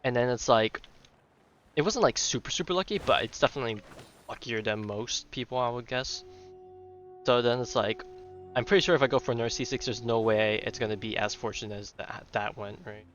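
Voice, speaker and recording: A man's voice: medium pitch, brisk, fairly bright tone. A man streaming a video game, chatting casually and thinking aloud in a rambling way, often repeating his words. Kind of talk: casual livestream gaming chat. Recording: dull lo-fi mic, game music behind. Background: video game music.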